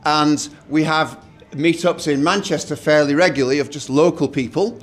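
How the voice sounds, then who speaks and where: A middle-aged man with a loud voice, co-hosting an event in a hotel meeting room, telling stories with restarts and dry jokes. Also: fairly high pitch, fairly even intonation, moderate pace. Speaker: co-host.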